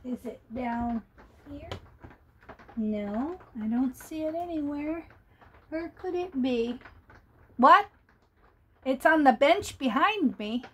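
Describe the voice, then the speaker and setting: A woman's voice: high-pitched, animated, slow pace, pauses often, slight background noise. An older woman reading a picture book aloud to children, playful and chatty, greeting them and asking them questions. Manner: asks the young listeners questions. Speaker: storyteller for children.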